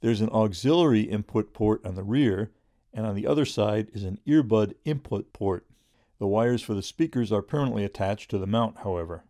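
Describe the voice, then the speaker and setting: A middle-aged man reading a scripted product review in a measured, informative way. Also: on the low side, dark tone, no echo, studio quality.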